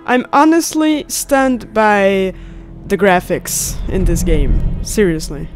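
A young woman hosts a let's play in a chatty, reactive way. Her pitch sits in the middle and she takes her time, speaking close to a studio mic while game music plays faintly underneath. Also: sing-song, takes it slowly. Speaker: gamer, host of a let's play series. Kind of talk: casual let's play commentary. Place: indoors, close at a desk. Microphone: studio mic on a boom arm, headset on. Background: faint game soundtrack.

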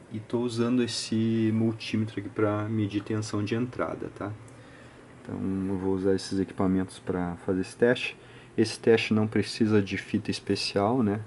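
A man with a Brazilian accent giving an informal hands-on technical tutorial, speaking haltingly with frequent short check-in tags. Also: on the low side, a little mellow, a little hiss or hum. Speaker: electronics repair channel host. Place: indoor home electronics workbench.

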